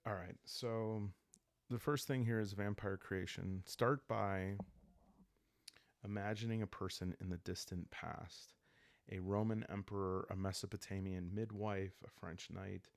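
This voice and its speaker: Very low, creaky, calm man. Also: no room sound. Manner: hesitant, musing, unhurried. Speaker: host of a solo roleplaying playthrough. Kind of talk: relaxed ASMR game narration, thinking aloud.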